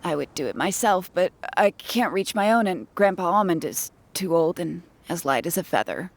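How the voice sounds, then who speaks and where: A woman's voice: on the low side, expressive, moderate pace. A woman voicing a weary, matter-of-fact creature bartender in a fantasy game, plain-spoken and lightly dramatic. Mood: pained, apologetic. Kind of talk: fantasy game character acting.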